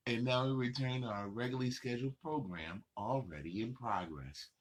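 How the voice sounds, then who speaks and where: A man hosting a children's story time, reading a picture book aloud with playful, drawn-out phrasing and chatty asides to the kids. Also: mid-range voice, a little hiss or hum.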